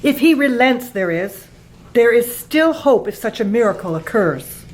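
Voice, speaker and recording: An actress in a community radio play, playing an anxious young wife. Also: female voice, fairly high pitch, lively intonation, unhurried, darkish tone, rough recording.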